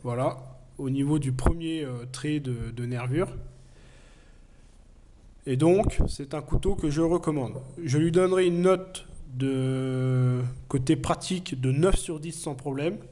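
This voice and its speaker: A man with a cold talks through a knife review in a mellow, slightly high voice. He explains earnestly, pausing often on fillers as he goes. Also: slight background noise. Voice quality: hesitant, many fillers. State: has a cold. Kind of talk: casual product review.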